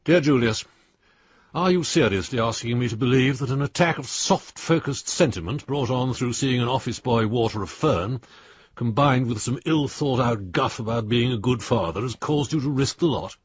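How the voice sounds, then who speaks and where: A man's voice: mid-range voice, moderate pace, breathy edge, no room sound, dull audio, natural voice. An actor playing a crusty older lawyer in a radio drama, reading out his letter in a blunt, dry, precise manner. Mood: exasperated. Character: crusty, exasperated older lawyer, loyal old friend.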